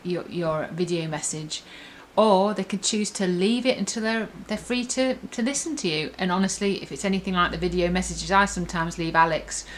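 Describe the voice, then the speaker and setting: A woman's voice: lowish voice, moderate pace, hardly any pauses, slight background noise, rough recording. A woman reviewer in a casual, chatty and enthusiastic demonstration, rambling along as she explains. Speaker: app reviewer.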